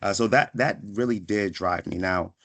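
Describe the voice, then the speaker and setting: A man's voice: lowish voice, normal speed, a bit bright. A man in his thirties from the Washington, D.C. area, born in Ghana, giving a reflective, conversational motivational talk to students, often checking in with "right?". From born in Ghana, raised in Washington, D.C. area from age five. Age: 30s. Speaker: guest speaker, psychiatric pharmacist. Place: online video session.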